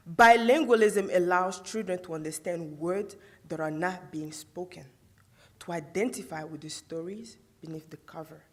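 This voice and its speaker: Young woman from Côte d'Ivoire, first language Guro, student orator. Prepared speech on a theatre stage, rhetorical, asks the audience questions. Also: medium pitch. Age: young adult.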